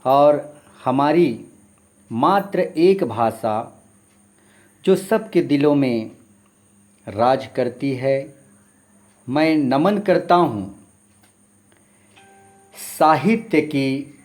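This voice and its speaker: A man's voice: a little high, animated, very slow, pauses often, a little mellow. A man, a folk singer and poet from Chhattisgarh, giving an earnest, formal and reverent spoken introduction before a song. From Chhattisgarh, India.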